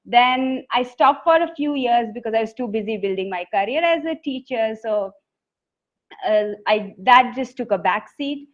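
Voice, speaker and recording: A woman's voice: on the high side, lively intonation, moderate pace. Earnest Indian woman author. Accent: Indian English. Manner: earnest, reflective storytelling. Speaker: poet and author guest. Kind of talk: conversational interview answers. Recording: dull lo-fi audio.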